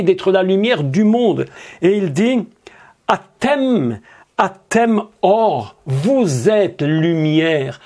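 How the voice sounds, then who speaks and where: A man's voice: sits high, darkish tone. A man preaching a sermon, emphatic and drawn out, with rhetorical questions to his listeners.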